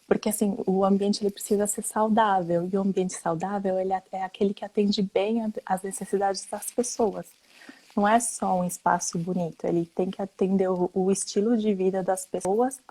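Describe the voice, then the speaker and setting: A woman's voice: mid-range voice, mellow, low-quality recording. A woman from Brazil, an architect, speaking earnestly and explaining her work as a guest in a casual online chat. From Brazil, grew up there.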